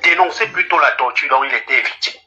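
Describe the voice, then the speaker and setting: A man's voice: a little high, fast talker, sharp tone. A man from Cameroon, a caller praising the host emphatically and lecturing listeners. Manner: fervent, emphatic and preachy. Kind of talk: live phone-in praise. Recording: dull lo-fi phone line.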